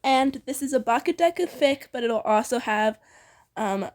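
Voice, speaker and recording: High-voiced, giggly young woman reading aloud. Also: lively intonation, normal speed. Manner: giggly, playful, casually sweary. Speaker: co-reader on a fan fiction reading channel. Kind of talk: informal fan fiction read-aloud with casual banter.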